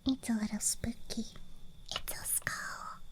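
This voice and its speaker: A young woman doing ASMR in a breathy whisper, musing in a hushed, childlike way, close to the microphone. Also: slow. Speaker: ASMR streamer playing a dice goblin persona. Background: night-time campground ambience.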